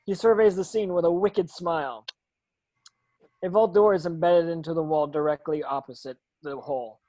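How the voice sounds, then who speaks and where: A man's voice: fairly high pitch, fairly even intonation, normal speed, mellow, poor audio. A man narrating stage directions from a script at a table read, sometimes tripping over words.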